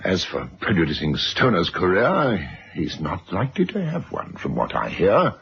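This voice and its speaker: Blustering, deep-voiced gentleman. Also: wildly swooping pitch, normal speed, creaky, full character voice. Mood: defensive. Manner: pompous, blustering. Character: blustering, indignant middle-aged father of privilege, cornered. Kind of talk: radio drama acting. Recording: dull, hissy old radio recording.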